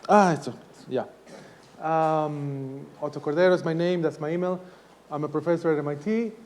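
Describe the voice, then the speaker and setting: A man in his forties from Ecuador lectures to students, holding his voice down because his throat is sore. His voice sits a little high for a man. He talks in a halting way, full of fillers and restarts. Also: a little mellow. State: sore throat, speaking softly. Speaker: professor giving a lecture. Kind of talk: university lecture.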